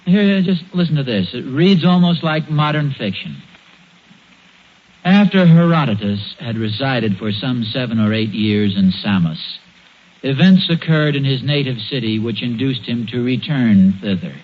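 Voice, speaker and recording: A man's voice: mid-range voice, lively intonation, a little slow. An American man playing a bumbling, stubborn suburban dad who hems and haws through a 1940s radio comedy. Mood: defensive enthusiasm. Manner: hesitant, hemming and hawing. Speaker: sitcom lead. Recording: muffled, noisy vintage radio broadcast.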